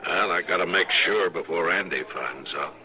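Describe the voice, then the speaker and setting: A man playing a calm, firm frontier marshal in a radio western, speaking in terse, measured lines. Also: low-pitched, poor audio. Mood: steady, grave. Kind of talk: old-time radio western acting. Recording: old radio recording with background crowd murmur.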